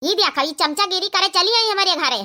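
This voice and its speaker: A woman's voice: very high-pitched, expressive, a little fast, sharp tone, full character voice. A woman voicing a spiteful, complaining village in-law with an Awadhi accent in a comedy cartoon, talking snappishly.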